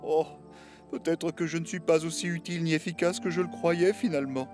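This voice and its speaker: A man's voice: on the high side, steady tone, brisk, darkish tone, natural voice. A man voicing a proud but good-hearted steam engine in a children's cartoon, earnest and plain-spoken. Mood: contrite. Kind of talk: French-dubbed children's cartoon acting. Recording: music bed behind the voice.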